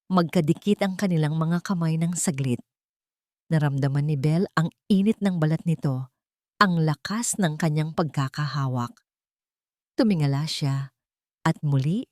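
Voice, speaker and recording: A woman's voice: deep voice, darkish tone, no echo, studio quality. A woman with a Filipino accent reading a scripted dramatic story as its narrator.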